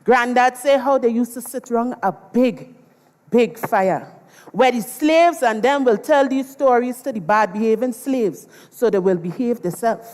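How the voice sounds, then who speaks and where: A woman's voice: fairly high pitch, measured pace, a little mellow, natural voice. A woman playing a Trinidadian storyteller who recounts folklore theatrically, speaking straight to the audience. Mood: relishing, theatrical. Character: folklore storyteller recalling a grandparent's old tales. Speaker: storyteller, narrator. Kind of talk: dramatized dialect storytelling. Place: large performance hall, on stage. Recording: echoey hall with long reverb.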